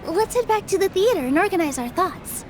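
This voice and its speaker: A woman playing a gentle, earnest young female dancer in an English anime game dub, with a soft and sincere manner. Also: very high-pitched, lively intonation, fairly quick, over a noisy crowd, very noisy. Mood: earnest, reassuring.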